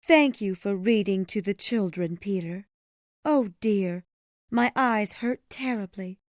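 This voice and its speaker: A woman voicing a gentle, careworn mother who speaks softly and tenderly while putting on brave cheer in a storybook reading. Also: medium pitch, slow, no echo, clean studio sound, voice pushed up, lightly acted. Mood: weary, tender.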